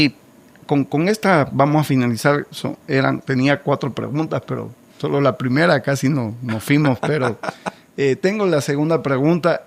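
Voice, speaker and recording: Hesitant man moderating a panel. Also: medium pitch. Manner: hesitant, stammering. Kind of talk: casual discussion.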